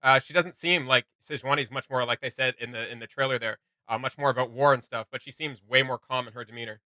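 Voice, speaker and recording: A man hosting a reaction video with casual, excitable, slangy commentary. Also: mid-range voice, quick pace, no echo.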